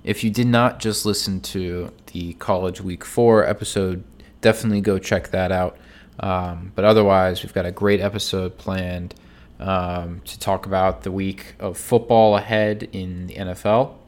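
Nasal man with a cold, low voice. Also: steady tone, moderate pace. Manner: low-energy, many ums and restarts. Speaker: sports betting podcast host. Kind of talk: casual solo sports picks talk.

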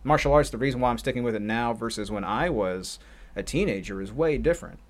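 A man's voice: medium pitch, fast. A man who assists as a martial arts instructor, musing on a podcast in a rambling, reflective way. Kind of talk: casual podcast chat.

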